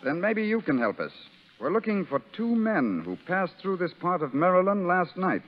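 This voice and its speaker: A man's voice: sits high, very animated, normal speed. A man playing a polite but firm army lieutenant in 1930s radio drama, formal and businesslike. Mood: brisk, official. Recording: dull 1930s radio recording, long reverb.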